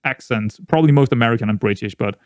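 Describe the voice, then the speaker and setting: A young man with a Danish accent, a native Danish speaker, chatting casually through a football management game, rambling with many restarts and jumping into excited outbursts. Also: medium pitch, fast talker, smooth, no echo, clean studio sound. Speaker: gaming YouTuber.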